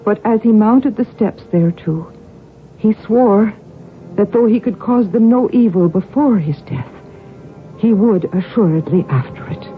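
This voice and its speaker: A woman's voice: mid-range voice, expressive, measured pace, lightly acted. A woman acting as a young noblewoman in an old radio play, resolute but anxious. The sound is narrow and tinny like an old broadcast, with some music underneath. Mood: grave reading. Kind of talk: 1970s radio drama acting.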